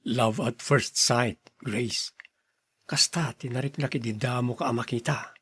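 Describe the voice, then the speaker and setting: A man's voice: mid-range voice, fairly even intonation, a little slow, a bit bright, husky, dry recording, full character voice. A man with an Ilocano accent acting a stern, blustery older brother who turns smitten suitor in a radio drama. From Philippines.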